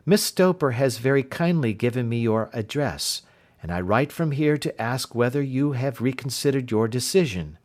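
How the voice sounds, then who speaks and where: A man voicing a brisk, earnest young woman governess in an audiobook reading, in his own everyday voice. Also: medium pitch, darkish tone, natural voice.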